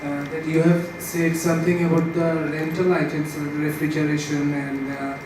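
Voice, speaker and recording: A man's voice: on the high side, level tone, normal speed. A man with a Bangladeshi accent politely asking a spontaneous audience question at a conference. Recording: very echoey, distant hall mic, noisy.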